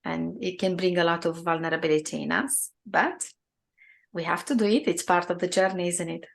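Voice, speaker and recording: A woman hosts an interview podcast with a low, gravelly voice. She asks her questions slowly and deliberately, with a few fillers along the way. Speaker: podcast host.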